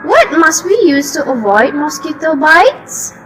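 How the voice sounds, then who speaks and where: A woman puts on a polite, inquisitive little schoolgirl for a puppet show. The voice is extremely high and sing-song, with careful recited questions. The homemade recording has some noise behind it. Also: big pitch swings, measured pace, fairly bright tone, full character voice. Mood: curious.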